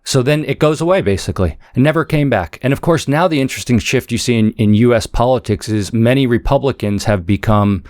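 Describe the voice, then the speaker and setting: A man's voice: mid-range voice, fairly quick, hardly any pauses, darkish tone, no room sound, clean studio sound. A man speaking analytically as an expert guest in an interview. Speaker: guest author, foreign policy scholar.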